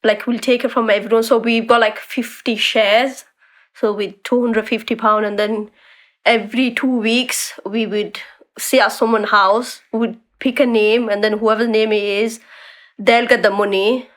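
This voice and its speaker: A young woman chats easily as an interview guest. Her voice sits a little high. She fills her sentences with "like" and often restarts them as she tells her story. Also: steady tone, measured pace, fairly bright tone. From born in a refugee camp in Bangladesh, raised in the UK. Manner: chatty, many likes and restarts. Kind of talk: casual conversational interview.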